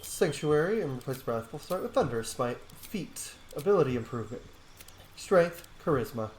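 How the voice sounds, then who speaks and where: A man's voice: mid-range voice, expressive, normal speed, a little mellow, a little hiss or hum, rough recording. A man doing casual gameplay commentary as a video host, talking to himself informally and swearing now and then.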